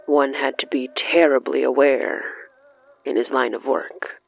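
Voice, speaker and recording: A mid-pitched narrator delivers scripted horror fiction slowly and deliberately, leaving weighty pauses between phrases. The sound is dry and dull, like lo-fi radio, with faint music underneath. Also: level tone, vocal fry, no room sound. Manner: measured, halting, with weighty pauses. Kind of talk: slow, dramatic scripted narration.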